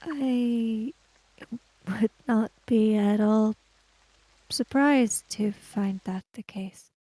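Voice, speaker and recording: A woman acts out a guarded samurai woman in a tabletop game. Her fairly high voice comes slowly and formally, with hesitant breaks between phrases. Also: warm tone, no echo, poor audio. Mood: guarded, careful.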